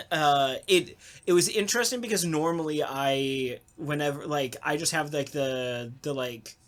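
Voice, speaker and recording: A man, a voice actor, chatting casually and jokingly in a halting, rambling way. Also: a little high, fairly bright tone. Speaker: voice actor on a podcast talkback. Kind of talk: casual chat.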